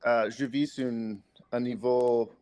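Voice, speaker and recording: A man's voice: medium pitch, fairly even intonation, slow, dark tone, smooth. A man with an American accent, a native English speaker, speaking as a podcast guest in careful, hesitant conversation full of restarts. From United States. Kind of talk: casual conversation.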